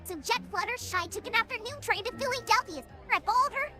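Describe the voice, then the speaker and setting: An excitable young pony mare reports as an amateur investigator in a cartoon audio story. The voice is tiny and squeaky, very animated, with music clearly behind it. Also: female voice, fairly quick. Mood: eager, secretive. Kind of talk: spoken journal notes in an audio story.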